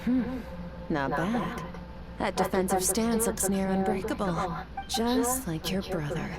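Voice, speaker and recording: An actress playing a composed, aloof sword mentor in a video game, measured and formal. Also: female voice, medium pitch, expressive, over a noisy crowd. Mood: calm, instructive.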